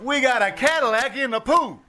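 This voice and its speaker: A man's voice: very high-pitched, moderate pace, sharp tone. A man playing an exasperated, sarcastic bandleader husband with a folksy, wisecracking manner in a 1940s-style radio sitcom. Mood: teasing. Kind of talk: 1940s radio sitcom acting, live stage re-creation. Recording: live theater stage, faint noise.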